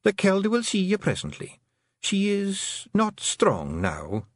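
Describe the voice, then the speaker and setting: A man's voice: high-pitched, huge pitch swings, unhurried, dry recording, clean studio sound, voice pushed up, exaggerated voice. A man voicing a small, proud pixie woman who speaks formally with a Scottish accent.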